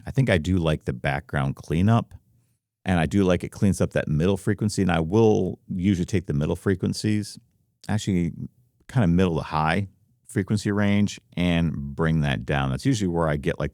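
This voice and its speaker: Extremely deep, gravelly-voiced man. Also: darkish tone, dry recording, studio quality. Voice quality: very deep, rumbling. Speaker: content creator, streamer. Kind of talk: casual explainer to viewers. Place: home office. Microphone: close studio microphone.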